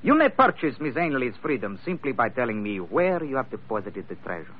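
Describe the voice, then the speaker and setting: A man's voice: medium pitch, big pitch swings, brisk. An actor playing a formal, taunting villain who coolly bargains with his captive in an old radio adventure. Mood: coolly threatening. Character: smooth, menacing male villain, coolly bargaining captor. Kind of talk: 1940s-50s radio adventure drama acting. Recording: tinny old radio recording, faint noise.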